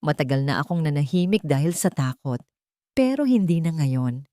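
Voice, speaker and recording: A woman's voice: deep voice, expressive, normal speed, mellow, dry recording, clean studio sound. A woman voicing a stern, brave elderly housemaid with an emphatic, authoritative manner in an audio story. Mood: firm resolve.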